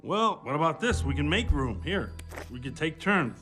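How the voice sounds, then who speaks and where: Gruff, downtrodden cartoon bad guy. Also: on the high side, sing-song, moderate pace, a bit bright, soft background music. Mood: pleading. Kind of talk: animated film acting.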